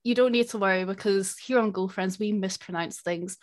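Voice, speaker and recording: A woman's voice: mid-range voice, normal speed. A woman co-hosting a podcast in casual, laughing chat that drops swear words freely. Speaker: podcast co-host.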